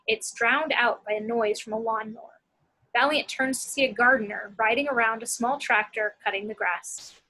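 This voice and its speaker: A woman with a husky edge reading a screenplay's action descriptions as narration. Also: a little high, bright, forceful tone, rough recording.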